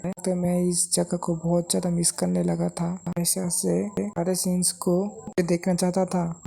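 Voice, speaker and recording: A woman's voice: lowish voice, vocal fry, warm tone, breathy edge. A woman narrating a story recap and speaking the characters' lines in passing. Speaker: narrator of manga recap videos.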